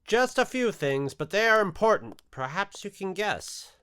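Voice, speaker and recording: Echoey, high-pitched earnest scientist played by a man. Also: sing-song, normal speed, pushed and bright, full character voice. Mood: brisk, earnest. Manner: declamatory, solemn. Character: earnest, authoritative male atomic scientist doctor. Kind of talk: pulp audio drama acting. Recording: echoey hall-like reverb.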